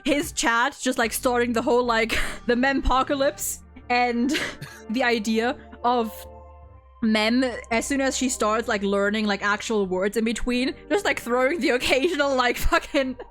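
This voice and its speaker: A high-voiced woman co-hosts a casual gaming fan chat. She talks effusively and swears freely, with music playing behind her. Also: a little slow, a bit bright. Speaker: co-host.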